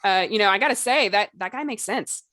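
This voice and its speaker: Female podcast host, informal and conversational, middle pitch, recorded very dry. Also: fairly quick, fairly bright tone, no echo. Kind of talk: casual discussion of writing craft.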